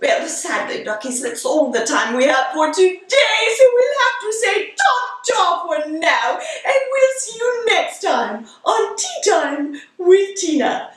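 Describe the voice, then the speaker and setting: A woman's voice: extremely high pitch, sing-song, slow, hardly any pauses, a bit bright, heavily acted. A woman playing a giggly, doting, theatrical tea-party hostess, talking to her audience in an affected, gushing way. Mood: flustered, playful scolding. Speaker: show host. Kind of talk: whimsical character monologue to an audience. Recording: very echoey room, distant microphone.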